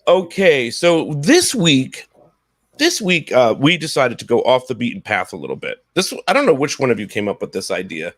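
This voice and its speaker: Male podcast host, thirties to forties, medium pitch, dry sound, casual delivery with stammered repeats. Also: wildly swooping pitch, normal speed, a bit bright, no echo. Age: 30s to 40s. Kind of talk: casual hosting chat. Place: room at home, indoors.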